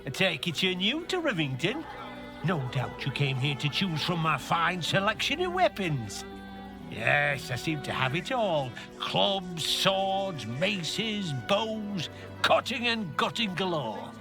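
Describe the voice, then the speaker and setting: An actor voicing a sinister male weapon merchant who talks in a smooth, insinuating, unhurried way. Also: sits high, big pitch swings, heavily acted. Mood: welcoming. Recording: game audio with music underneath.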